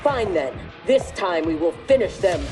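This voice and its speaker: A woman's voice: on the low side, lively intonation, normal speed, close to an everyday voice. An actress playing a hard, ambitious woman warrior in a video game, speaking formally and tersely. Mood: terse, determined. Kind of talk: video game cutscene acting. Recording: dramatic music behind the voice.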